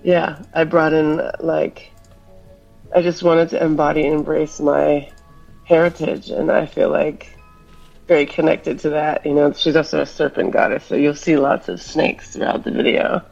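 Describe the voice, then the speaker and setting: A woman's voice: deep voice, level tone, normal speed, gravelly, darkish tone, lo-fi sound, faint music behind. A woman from Hawaii, a musician guest in a casual interview, talking reflectively and rambling with fillers.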